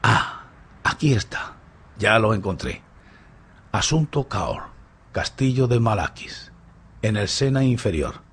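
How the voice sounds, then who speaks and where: A man playing a suave, playful, boastful gentleman thief in a radio drama, with mocking, grandly courteous theatrical delivery. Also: on the low side, animated, unhurried, pauses often, breathy edge, heavily acted.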